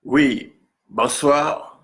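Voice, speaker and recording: A man from Accra with a Ghanaian accent and Ga as his first language, explaining things informally to an audience, haltingly and repeating words for emphasis. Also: medium pitch, fairly bright tone. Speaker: musician presenting own single.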